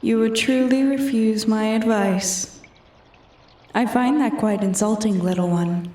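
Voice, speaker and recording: A woman's voice: fairly high pitch, measured pace, a little mellow, lightly acted. A woman playing an ancient, arrogant female dragon in a roleplay ASMR, haughty and taunting, often sinking toward a whisper. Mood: haughty, mocking. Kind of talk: roleplay ASMR, scripted dramatic monologue. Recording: echoey, distant from the mic.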